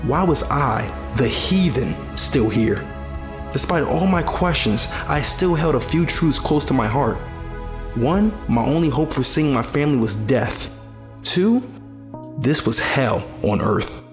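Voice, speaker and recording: A man's voice: medium pitch, expressive, a little slow, natural voice. Man acting a weary young survivor over music. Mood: bitter, questioning. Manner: reflective, plain-spoken. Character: weary, disillusioned young male survivor of a ruined world. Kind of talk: first-person audio drama narration and dialogue. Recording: loud music behind the voice.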